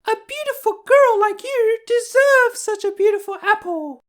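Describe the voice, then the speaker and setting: A man voicing a sly, wicked old queen disguised as an old woman in a storybook, with a shrill theatrical falsetto. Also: a little slow, a bit bright. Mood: wheedling, sly. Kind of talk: storybook character voice.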